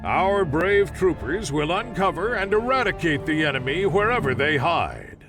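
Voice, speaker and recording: An actor playing a zealous, upbeat military propaganda announcer in a video game, narrating in a dramatic, declamatory style. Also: male voice, on the high side, sing-song, normal speed, music in the background. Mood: rousing, ominous.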